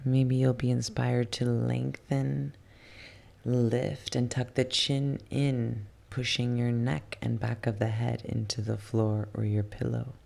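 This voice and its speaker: A soft, hushed voice guiding a yoga nidra relaxation in ASMR style, soothing and unhurried, slipping at times into a whisper. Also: mid-range voice, flat delivery, gravelly. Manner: soothing, unhurried, with long pauses. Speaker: guided relaxation instructor.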